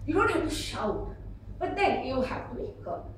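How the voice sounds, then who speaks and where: A middle-aged woman with a South Indian accent giving an expressive, humorous public speaking workshop with acted examples, recorded in a room at some distance from the mic. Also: high voice, normal speed. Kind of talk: animated workshop talk with acted examples and anecdotes. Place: indoor meeting room before an audience. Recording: echoey room, distant mic, faint noise.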